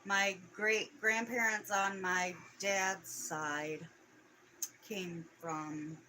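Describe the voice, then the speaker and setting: A woman's voice: medium pitch, steady tone, extremely slow, sharp tone, slight background noise. A woman in her forties co-hosting a casual live stream, chatty and chiming in with short agreements. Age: 40s.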